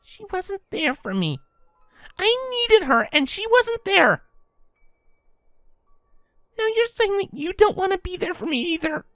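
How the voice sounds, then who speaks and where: Young cartoon filly: very high, swooping voice, wounded and pleading, faltering delivery, dry close sound. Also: female voice, sing-song, unhurried, long pauses, fairly bright tone, no echo, faint music behind, heavily acted. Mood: tearful, desperate. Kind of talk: dramatic audio story acting.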